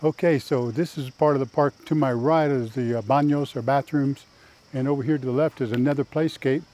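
An older man with a mellow, warm voice at a middle pitch hosts a casual video tour. He speaks in a folksy, plain-spoken way as he guides viewers on foot outdoors, recorded on a lapel mic. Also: fairly even intonation, a little fast. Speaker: vlog host and tour guide. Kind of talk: casual walking tour narration to camera. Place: outdoors in a park.

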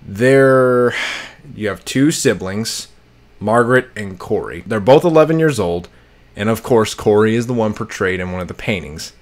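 A man's voice: on the low side, animated, normal speed. A young adult man giving casual video commentary to camera, speaking bluntly with heavy swearing into a close desk microphone indoors. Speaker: video reviewer of horror series. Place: bedroom, indoors. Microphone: close desk microphone, with headphones.

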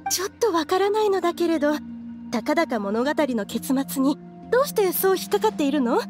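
A refined, motherly middle-aged woman from an anime game. The voice is high and very animated in pitch. Manners are polite and ladylike, yet guarded and controlling, over soft music. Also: a little fast. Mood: defensive. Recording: background music under the voice.

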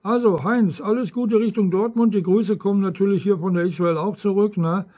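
Mellow, high-pitched man chatting. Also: fairly quick. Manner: rambling, frequent tag questions. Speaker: amateur radio operator. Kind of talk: casual ham radio chat.

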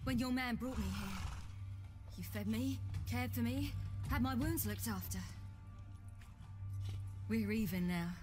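Casting: woman playing grave young female warrior on the run, fantasy game, fairly high voice over clear music. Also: unhurried, long pauses, lightly acted. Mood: grave resolve. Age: young adult. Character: determined, hunted young swordswoman. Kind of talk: video game dramatic dialogue.

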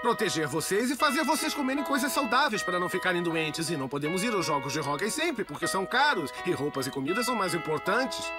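A man voicing a zany, excitable and protective father with a Brazilian accent in a cartoon dub, theatrical and exclamatory. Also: sits high, quick pace, few pauses. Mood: gentle, explaining. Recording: loud music under the voice.

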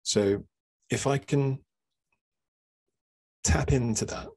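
A man's voice: mid-range voice, slow pace, darkish tone, husky. A man speaking on a video call as a panelist, with dry, self-deprecating humor. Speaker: panelist, author. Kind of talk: casual panel discussion.

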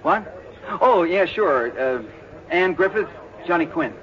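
An actor playing an eager, boyish, chatty high-school football fan in a 1940s radio drama. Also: male voice, lively intonation, takes it slowly. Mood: excited. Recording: muffled 1940s radio recording, crowd behind.

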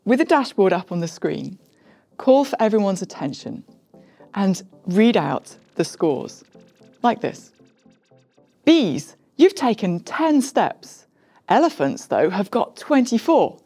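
A middle-aged woman coach explains a group coding practice to camera in a clear, friendly way. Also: medium pitch, sing-song, slow, warm tone, soft background music. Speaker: software coach. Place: studio with plain backdrop.

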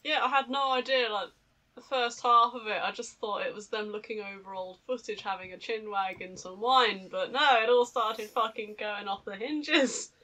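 Woman, podcast co-host, fairly high voice, casual chat, free swearing, playful riffing. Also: normal speed, bright, forceful tone.

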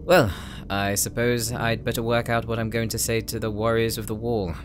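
A man's voice: mid-range voice, level tone, moderate pace, natural voice. A man playing a serious, wary, dutiful brother in an anime-style audio drama, speaking earnestly and formally. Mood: wary, concerned. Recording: prominent music behind the voice.

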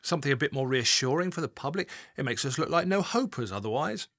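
A man voicing a blustering middle-aged male senior police chief who scolds gruffly and with exasperation. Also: mid-range voice, animated, fast, fairly bright tone, no room sound, studio quality, voice pushed up. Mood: exasperated.

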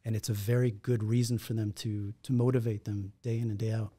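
A man in his forties from Israel, a podcast guest, telling his story in a reflective, measured way at a studio mic. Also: lowish voice, little pitch movement, mellow. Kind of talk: conversational storytelling. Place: podcast studio in a martial arts gym. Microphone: boom-arm studio mic with headphones.

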